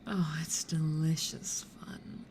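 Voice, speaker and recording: A woman playing a playful, mischievous demon in a hushed, teasing, creaky ASMR roleplay whisper. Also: low voice, takes it slowly. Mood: gleeful. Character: playful, mischievous demon who toys with humans. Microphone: close studio condenser or binaural mic. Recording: clear background noise.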